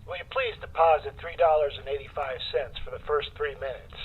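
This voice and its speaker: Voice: medium pitch, brisk, husky. An actor playing an impassive, formal long-distance operator in a radio play, clipped and polite, through a telephone filter. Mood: impassive, businesslike. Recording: noisy, tinny phone line with clicks.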